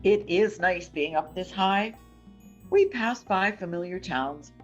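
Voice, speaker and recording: A woman's voice: lowish voice, slow, muffled recording, over music. An older woman in an amateur audio play acting an anxious, watchful wife who cautions her husband. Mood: cheerful. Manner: urgent, cautioning.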